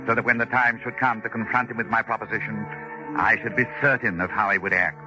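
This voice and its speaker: An actor playing a cold, urbane doctor obsessed with murder, in a measured, formal 1940s radio suspense style. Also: male voice, fairly low pitch, steady tone, natural voice, poor audio. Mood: measured narrating. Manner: measured, erudite, formal phrasing. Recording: vintage radio broadcast with music behind.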